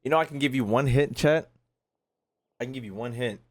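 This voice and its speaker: A man's voice: medium pitch, a little fast. A man livestreaming a game, talking in casual slang with a cocky, joking manner. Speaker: streamer reacting to a game.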